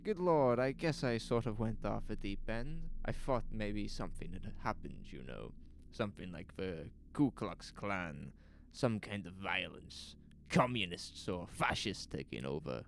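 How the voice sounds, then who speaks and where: A man playing an anxious, pleading small-town storekeeper in an audio horror drama, halting and self-justifying. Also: fairly low pitch, animated, gravelly, a little hiss or hum. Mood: nervous relief.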